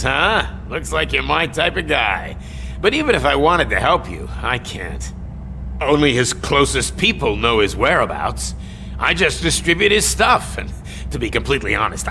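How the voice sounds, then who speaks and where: Crooked businessman, medium pitch, animated, smug, sardonic, video game villain. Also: slight background noise. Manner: sardonic, smooth-talking. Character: smug, slippery arcade owner and drug distributor. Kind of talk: video game cutscene acting.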